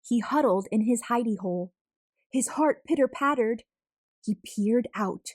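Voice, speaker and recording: A woman reading a children's picture book aloud as a storyteller, expressive and deliberate, drawing out words for emphasis. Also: fairly high pitch, no room sound. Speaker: storyteller, read-aloud host.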